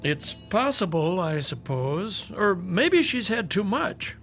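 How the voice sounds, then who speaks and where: An actor playing a kindly family doctor in a radio drama, soothing and gently skeptical with a lightly teasing bedside manner. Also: male voice, high voice, sing-song, slow, soft background music, full character voice. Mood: concerned, probing. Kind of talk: modern radio drama acting.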